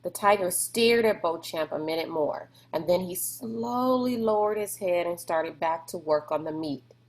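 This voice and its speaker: A woman's voice: on the low side, a little slow. A woman narrating a children's novel aloud in a scripted storybook style.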